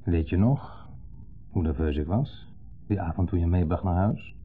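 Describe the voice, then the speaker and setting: A muffled 1970s radio drama recording of an insecure, jealous husband figure with a Netherlands Dutch accent. His voice is low and husky. He speaks theatrically, repeating himself and pressing on words with a needling edge. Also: normal speed, soft background music. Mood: brooding, suspicious. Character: insecure, needling man dwelling on old jealousy. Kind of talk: 1970s radio play acting.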